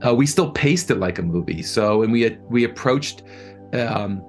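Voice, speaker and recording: A man answering interview questions as a producer, speaking thoughtfully and conversationally, often halting and repeating words. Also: lowish voice, lively intonation, soft background music.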